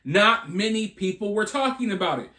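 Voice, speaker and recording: Male online news commentator, high-pitched, emphatic delivery with dramatic phrasing, recorded in an echoey room. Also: animated, normal speed, sharp tone. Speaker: host of a news commentary video channel.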